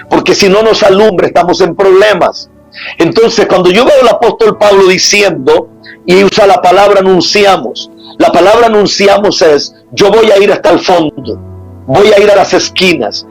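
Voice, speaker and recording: Male preacher, high and husky, emphatic repetitive sermon delivery over faint music. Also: poor audio. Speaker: apostle preacher.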